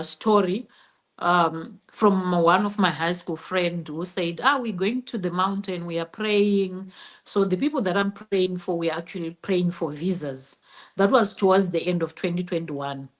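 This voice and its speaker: Lowish-voiced middle-aged Zimbabwean woman. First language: Shona. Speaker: interview guest. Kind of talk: personal storytelling.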